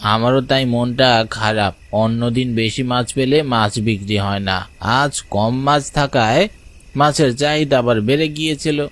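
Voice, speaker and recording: A man's voice: mid-range voice, steady tone, measured pace, fairly bright tone, natural voice. A man playing a village fisherman, the younger companion in a cartoon story, in acted dialogue. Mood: glum chatting. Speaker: supporting character.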